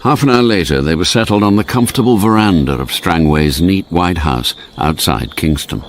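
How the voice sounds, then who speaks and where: A man's voice: deep voice, normal speed, a little hiss or hum, lightly acted. A man acting as the narrator of a radio spy thriller, with dramatic scripted delivery. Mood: suspenseful.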